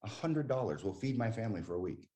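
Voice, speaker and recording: Forties man from the States, lowish voice, chatty interview guest who talks emphatically. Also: animated, fast talker, warm tone. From United States. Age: 40s. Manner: chatty and emphatic, with frequent restarts. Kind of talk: casual conversation.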